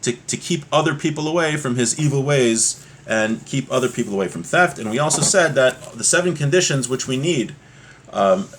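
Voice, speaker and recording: A man with a mid-pitched voice teaches a religious text class. He speaks conversationally, alternating between Hebrew source text and plain explanation. His delivery is informal and explanatory, with small check-ins to the listener. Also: expressive, normal speed, fairly bright tone, a little hiss or hum.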